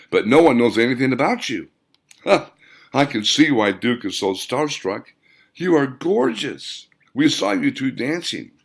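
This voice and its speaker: A man's voice: medium pitch, vocal fry. A man voicing a chatty, nosy married woman, gossipy and effusive, in a storybook reading.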